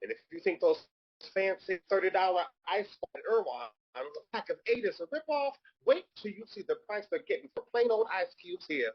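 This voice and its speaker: A man's voice: sits high, big pitch swings, a little fast, slightly rough, dull audio, exaggerated voice. An actor playing a sardonic, unimpressed, streetwise man in a comedic table read, talking in a pointed, conversational way. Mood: teasing.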